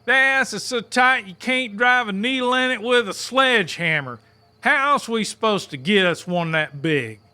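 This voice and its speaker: High-pitched, crude, blustering sidekick. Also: male voice, lively intonation, normal speed, bright, forceful tone, smooth, full character voice. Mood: cocky. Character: cocky, crude, hot-tempered blind country sidekick, male. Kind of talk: audiobook drama acting.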